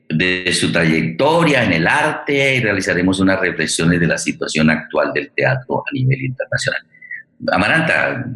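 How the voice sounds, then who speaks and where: A man hosting a live radio theatre program, speaking haltingly as he searches for words. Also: low-pitched, pushed and bright. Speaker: program host. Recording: roomy, echoing sound.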